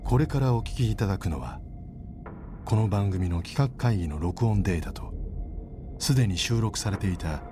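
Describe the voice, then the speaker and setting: A man's voice: on the low side, expressive, moderate pace, background noise, lightly acted. A man playing a grave narrator presenting found recordings in a scripted documentary style.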